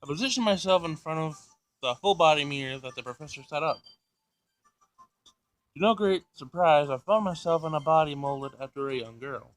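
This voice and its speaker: A man's voice: fairly high pitch, normal speed, low-quality recording. A man reading a visual novel aloud for a gaming video, stumbling and repeating words, with asides of his own. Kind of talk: let's play read-aloud with asides. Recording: music behind the voice. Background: music.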